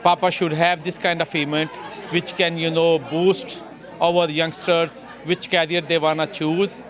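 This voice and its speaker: A man giving a halting statement to the camera outdoors, with non-native phrasing. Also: on the high side, flat delivery, fairly bright tone, some chatter behind.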